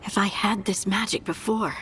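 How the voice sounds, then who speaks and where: A woman's voice: mid-range voice, fairly even intonation, moderate pace, a bit bright, a little hiss or hum, lightly acted, poor audio. An actress playing an earnest young woman fighter, unsure of her new magic, speaking hesitantly in a video game cutscene. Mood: reflective.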